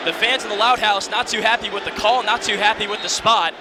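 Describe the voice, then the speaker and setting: High-pitched young male play-by-play announcer over crowd. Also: a little fast. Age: early twenties. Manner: vivid, present-tense calls in short clipped phrases. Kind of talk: live college football radio commentary. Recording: stadium crowd and loud background noise.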